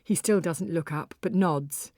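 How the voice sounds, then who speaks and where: Low-voiced woman, expressive storyteller. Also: unhurried, dry recording, clean studio sound. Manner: expressive, wry, theatrical. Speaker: audiobook narrator. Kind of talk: dramatic first-person story narration.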